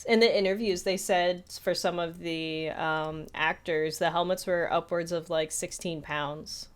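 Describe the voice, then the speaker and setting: Woman, slightly low voice, podcast co-host, casual rambling film chat. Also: steady tone, a little slow, clear, resonant tone. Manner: rambling, peppers talk with "like".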